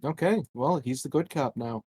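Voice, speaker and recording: A man's voice: mid-range voice, moderate pace, mellow, slightly rough, dry recording. A man playing a tabletop game, chatting casually in a jokey, rambling way. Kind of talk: casual joking chat.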